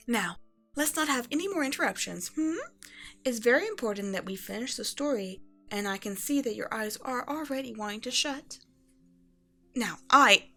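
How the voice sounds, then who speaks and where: A woman's voice: fairly high pitch, fairly bright tone. A woman as a regal, scheming evil empress mother. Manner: regal, self-righteous, measured storytelling. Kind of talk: bedtime story roleplay, ASMR audio.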